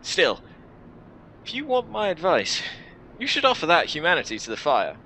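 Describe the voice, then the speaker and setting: A teasing, chiding woman from a fantasy game , voiced by a man. The voice is pitched up and swings about haltingly, over a noisy home recording. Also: huge pitch swings, sharp tone, heavily acted. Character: teasing female companion at a bonfire.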